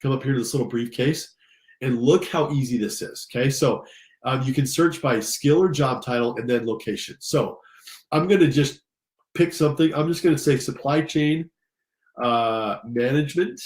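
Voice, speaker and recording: A man's voice: medium pitch, moderate pace. An American man, slightly stuffed up, giving an informal, enthusiastic tutorial and speaking directly to his listeners. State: slightly congested from allergies. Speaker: career coach and instructor. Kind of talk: informal screen-share tutorial. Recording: roomy, echoing sound.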